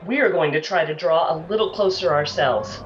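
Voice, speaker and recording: A woman's voice: low-pitched, brisk, background noise, natural voice. An actress playing a plucky, no-nonsense female field reporter in a 1930s radio play spoof. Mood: intent, descriptive. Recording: dull, distant mic with music behind.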